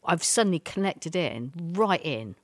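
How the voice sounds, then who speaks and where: A woman's voice: deep voice, sing-song, unhurried, no room sound. Chatty woman, dry sound. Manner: chatty, teasing, effusive. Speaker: podcast co-host. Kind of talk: casual music chat.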